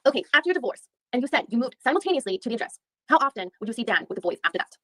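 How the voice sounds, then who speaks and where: A woman's voice: a little high, sing-song, racing, no echo. A woman reading the part of a female attorney who questions a witness methodically, with frequent restarts. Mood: probing. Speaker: reader in a deposition reenactment. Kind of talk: read-aloud legal reenactment.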